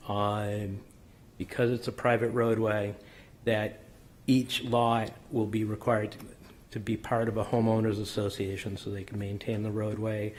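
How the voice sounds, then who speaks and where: A man presenting a subdivision plan at a public board meeting, methodical and technical with frequent ums and self-corrections, his voice slightly hoarse. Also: on the low side, a little mellow. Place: city council chamber.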